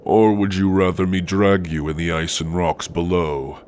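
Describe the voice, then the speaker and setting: A man voicing a hulking, stern orc warrior in an ASMR roleplay, speaking in archaic threats with dark amusement. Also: low voice, little pitch movement, slight background noise, close to an everyday voice. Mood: menacing, amused. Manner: slow, archaic, threatening phrasing.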